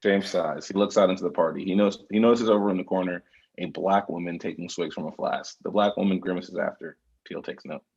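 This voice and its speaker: A man's voice: low voice, fairly even intonation, fairly quick, vocal fry, darkish tone, slightly rough, muffled recording. A man reading stage directions as scripted narration for a screenplay table read.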